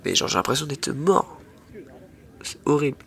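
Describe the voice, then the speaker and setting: A young man with a head cold, casually commenting on his own tennis match in slangy, excitable talk to his viewers. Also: fairly high pitch, moderate pace, gravelly. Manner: slangy, excitable, talks to viewers as "les mecs".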